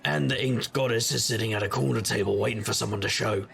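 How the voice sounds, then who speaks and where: Man playing a gravelly, wry private eye. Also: lowish voice, steady tone, fast talker, a bit bright. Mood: wry, reflective. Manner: wry, sardonic, conversational. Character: wry hard-boiled supernatural private investigator, man. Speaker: narrator and lead character. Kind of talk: noir first-person scripted narration.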